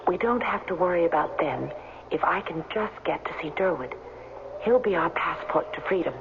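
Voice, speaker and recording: A woman's voice: fairly low pitch, lively intonation, normal speed, creaky. An actress in a 1970s radio drama playing an anxious but resolute captive woman, speaking with guarded urgency. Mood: resolute, troubled. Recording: dull, noisy 1970s radio recording.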